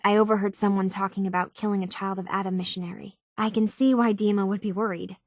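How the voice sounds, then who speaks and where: A woman's voice: medium pitch, level tone, quick pace, no echo, clean studio sound, close to an everyday voice. An actress playing an earnest, anxious and curious young runaway woman, speaking hesitant, thoughtful dialogue for a video game. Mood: thoughtful, concerned.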